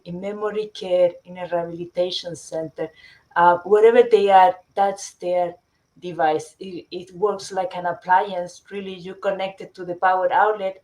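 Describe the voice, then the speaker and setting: A middle-aged woman from Chile, a company founder presenting her product in a webinar, often pausing with fillers and restarting sentences. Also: on the low side, poor audio. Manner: hesitant, many fillers and restarts.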